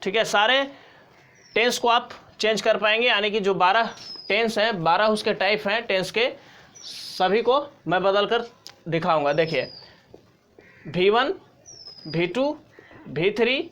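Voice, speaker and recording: A man's voice: sits high, unhurried, pauses often, pushed and bright. A man giving an informal tutorial as a teacher, explaining step by step and repeating key points. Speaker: grammar teacher.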